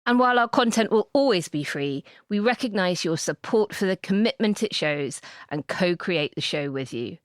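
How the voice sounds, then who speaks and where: A woman co-hosts a podcast with a lowish voice. She presents and chats in a very dry recording free of room sound. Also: expressive, moderate pace, hardly any pauses, no echo. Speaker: podcast co-host.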